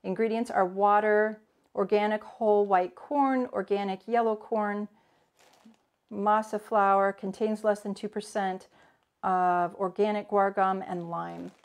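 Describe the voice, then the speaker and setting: A woman's voice: mid-range voice, fairly even intonation, unhurried. A woman in her forties teaching a live cooking demo from her home kitchen, chatting and greeting viewers by name as she works. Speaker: cooking instructor.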